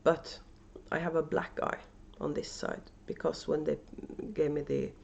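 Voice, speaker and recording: A woman's voice: sits low, flat delivery, unhurried, creaky. Norwegian woman chatting hesitantly, muffled sound. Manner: hesitant, many fillers and restarts. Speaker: knitting podcast host and yarn dyer. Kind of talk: casual unedited video podcast chat. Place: at home, indoors. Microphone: camera microphone.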